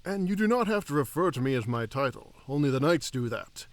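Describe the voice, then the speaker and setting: A man playing a humble, troubled elf king and father in a fantasy audio drama, reflective and gently formal. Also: medium pitch, sing-song, a little fast, no room sound. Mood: courteous.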